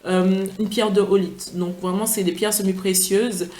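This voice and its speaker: A woman's voice: medium pitch, fairly even intonation, normal speed, a little mellow. Chatty, tired woman in an echoey room. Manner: chatty, playful, gushing with enthusiasm. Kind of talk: casual product-review vlog.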